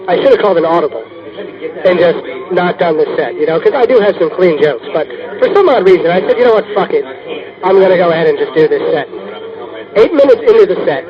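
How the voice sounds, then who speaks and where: High-voiced comedian telling a story. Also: male voice. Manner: animated storyteller, swearing, emphatic. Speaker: comedian guest. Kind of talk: interview anecdote. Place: comedy club. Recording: lo-fi with loud club crowd behind.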